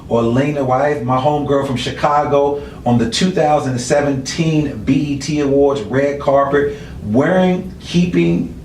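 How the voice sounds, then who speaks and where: A man's voice: mid-range voice, darkish tone, a little hiss or hum. A man hosting a casual interview show, teasing and slangy in his delivery. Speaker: talk show host. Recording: echoey room, distant mic.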